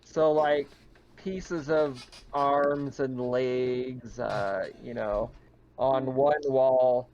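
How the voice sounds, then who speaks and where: A man's voice: mid-range voice, steady tone, extremely slow, a little mellow. Man game master, slightly dull lo-fi sound. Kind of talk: improvised narration and table chat for a tabletop horror game. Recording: dull, lo-fi online game audio.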